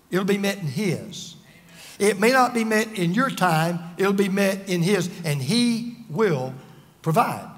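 A man over fifty preaching a sermon, earnest and emphatic, telling personal stories with self-deprecating humor. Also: sits high. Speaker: pastor. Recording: long reverb of a large hall.

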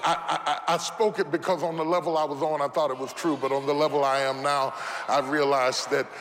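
Fifties male pastor, fairly high voice, sermon with repeated lines, long hall reverb, faint room hum. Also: level tone, a little fast. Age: 50s. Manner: impassioned preaching with repeated phrases and shouted peaks. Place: church sanctuary.

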